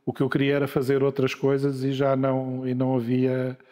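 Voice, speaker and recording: A man in his sixties from Lisbon, telling his life story in a relaxed interview, pausing often with filler sounds. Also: medium pitch. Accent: Lisbon Portuguese. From Portugal. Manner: unhurried, hesitant with many filler sounds and restarts. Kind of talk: conversational interview. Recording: long hall reverb.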